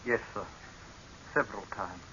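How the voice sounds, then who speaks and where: A man's voice: fairly low pitch, moderate pace, pushed and bright. An actor playing an earnest young male scientist in a 1930s radio horror drama, formal and restrained as a subordinate. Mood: guarded, formal. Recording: hissy, muffled old radio recording.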